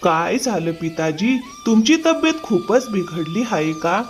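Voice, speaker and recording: A man's voice: high-pitched, lively intonation, unhurried. A man playing a pliable, dim-witted grown son in a cartoon moral tale. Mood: uncertain, conspiring. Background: music.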